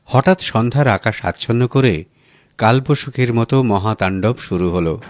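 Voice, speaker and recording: A man's voice: mid-range voice, normal speed, slight background noise. A man narrates a literary short story in a dramatic audiobook style. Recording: music bed under the voice. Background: background music.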